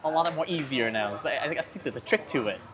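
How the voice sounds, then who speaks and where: A man from Vietnam, a guest telling his story quickly with stumbles and loose grammar. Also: medium pitch, animated, over music. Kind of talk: casual interview.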